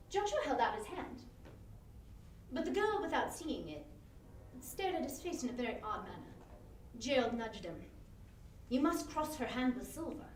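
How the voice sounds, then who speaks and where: A woman reading a story aloud dramatically, expressive, now and then stumbling over words. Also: high voice. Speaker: volunteer story reader. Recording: roomy, distant, noisy online livestream.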